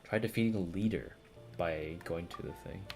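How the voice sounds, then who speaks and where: A man's voice: deep voice, moderate pace. A man giving casual live commentary while he plays a video game. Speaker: game streamer. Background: loud video game music and sounds behind the voice.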